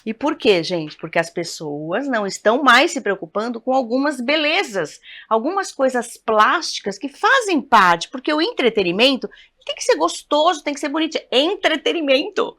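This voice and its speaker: A woman's voice: high-pitched, sing-song, moderate pace, few pauses, a bit bright. Brazilian woman chatting on a talk show panel, emphatic, keeps turning to the group. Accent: Brazilian Portuguese. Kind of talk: casual studio talk show chat.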